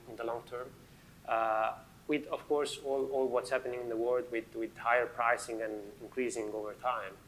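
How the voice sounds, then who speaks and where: A man's voice: medium pitch, a bit bright, a little hiss or hum, poor audio. Italian-accented man on a panel. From Italy. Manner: hesitant, many fillers and restarts. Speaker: panel speaker. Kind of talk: conference panel talk.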